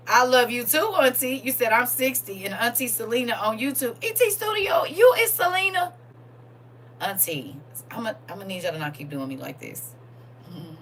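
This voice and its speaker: A woman in her forties with a bright, clear tone, running a casual livestream. She reads viewers' comments aloud and teases them in a loose, colloquial way. She laughs often and keeps the tone playful. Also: fairly high pitch. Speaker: livestream host.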